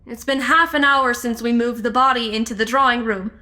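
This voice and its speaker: A woman acts out a sharp amateur investigator in an audio drama. Her voice is high and bright. She speaks directly and drily, practical and commanding. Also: steady tone. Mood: focused, urgent. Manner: direct, brisk, dry. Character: sharp, practical, take-charge female amateur sleuth.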